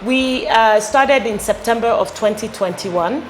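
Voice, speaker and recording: Middle-aged woman, interview guest, halting speech, lapel mic, faint room noise. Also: fairly high pitch, rough recording. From Ghana. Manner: halting, many fillers and restarts. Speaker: company founder, interview guest. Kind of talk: casual interview answers. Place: showroom with electric bikes.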